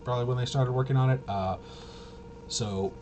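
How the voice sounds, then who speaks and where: A man's voice: mid-range voice, normal speed. A man giving a casual, unscripted game walkthrough in a hesitant, rambling way, often trailing off and correcting himself. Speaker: tabletop game reviewer and presenter. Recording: clearly noisy room ambience.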